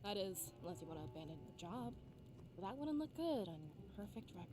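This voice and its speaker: A woman's voice: mid-range voice, very animated, fast, background noise, close to an everyday voice. A woman voicing an earnest, principled young woman in a fantasy visual novel. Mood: pointed.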